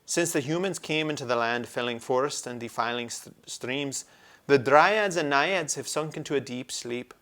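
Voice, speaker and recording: A man's voice: mid-range voice, normal speed, a bit bright, pitched above the performer's own voice, lightly acted. Man voicing an earnest, grave talking badger.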